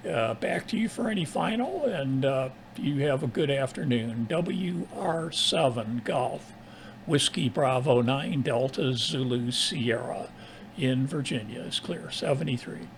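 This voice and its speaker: An American man chatting casually as an amateur radio operator, in a halting, rambling way. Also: mid-range voice, expressive. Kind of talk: casual ham radio chat. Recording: clearly noisy background.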